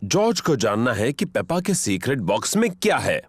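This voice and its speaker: A woman narrating a children's cartoon in an expressive storytelling style. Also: very deep voice, moderate pace, slightly rough, no room sound, clean studio sound, full character voice.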